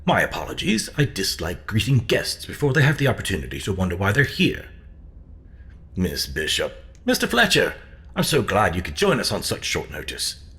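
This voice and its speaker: A man's voice: sits low, huge pitch swings, moderate pace, creaky, fairly bright tone, heavily acted. Audio drama: male actor as theatrical collector host, suave, evasive, hugely varied intonation. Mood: suave, teasing. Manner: grandiloquent, teasing, evasive.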